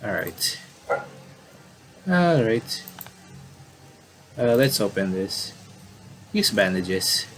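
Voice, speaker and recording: A man's voice: lowish voice, sing-song, drawn-out words, pauses often, a little hiss or hum, rough recording. A man commentating on a game playthrough, casually reading story text aloud and often stumbling and rereading phrases.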